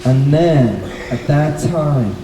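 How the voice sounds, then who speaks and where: A man telling a children's story live to an audience, in simple, deliberate phrases. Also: medium pitch, lively intonation. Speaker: puppet show storyteller and presenter. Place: indoor bookstore event. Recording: very echoey, noisy hall, distant microphone.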